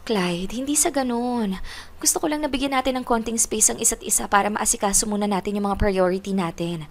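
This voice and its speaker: A woman's voice: mid-range voice, fairly quick, natural voice. A Filipino woman playing a frank, preoccupied college girlfriend in a naturalistic audio drama. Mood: firm, pleading. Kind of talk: naturalistic radio-style drama acting. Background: clear background music.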